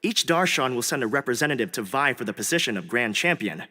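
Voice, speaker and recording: A man's voice: medium pitch, animated, quick pace, pushed and bright. A man voicing a courteous, earnest young academic organizer in a video game, speaking in a polite, formal, explanatory way. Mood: polite, informative. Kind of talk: video game character acting. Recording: long reverb, music behind.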